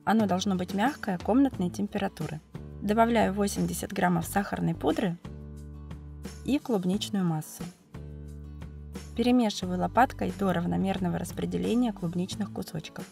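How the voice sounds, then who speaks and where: Woman with a cold, gravelly voice. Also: medium pitch, moderate pace, warm tone, faint music behind. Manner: methodical step-by-step explaining. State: has a cold, voice affected. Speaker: cooking channel host. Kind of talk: calm recipe voice-over narration.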